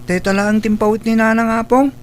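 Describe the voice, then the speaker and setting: A woman's voice: on the high side, fairly even intonation, brisk, lightly acted. A woman playing a demanding, petulant schoolboy son in a radio drama, with a Filipino accent. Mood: sulky, demanding. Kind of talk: Ilocano radio drama acting.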